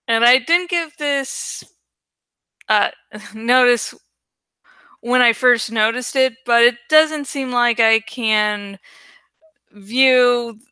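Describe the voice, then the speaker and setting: Slow, bright-voiced woman reading aloud. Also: a little high, smooth, dry recording. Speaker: host reading a novel aloud on a livestream. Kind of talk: dramatic audiobook-style reading with casual asides.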